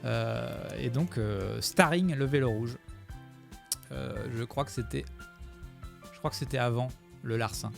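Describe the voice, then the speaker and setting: A man's voice: mid-range voice, measured pace, creaky, long pauses, over music. A man streaming live, commenting on his own gameplay video with a chat, rambling and joking as he thanks his followers.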